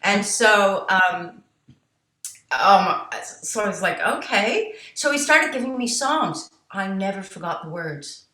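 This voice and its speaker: Bright-voiced woman telling stories. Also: medium pitch, takes it slowly, echoey room. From United States. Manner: animated, tells stories with quoted asides and laughs. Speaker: interview guest, jazz singer and songwriter. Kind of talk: conversational interview storytelling.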